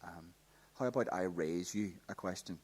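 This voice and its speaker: An actor playing a tender, teasing teenage boy in love in a radio play, with a husky voice and a halting delivery. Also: deep voice, a little mellow. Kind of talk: radio drama acting.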